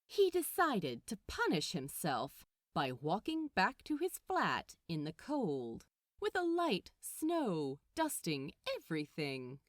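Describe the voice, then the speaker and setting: A woman narrating fiction aloud in a dramatic, expressive way with deliberate phrasing. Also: on the low side, no echo, studio quality. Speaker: podfic narrator. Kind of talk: expressive scripted story narration.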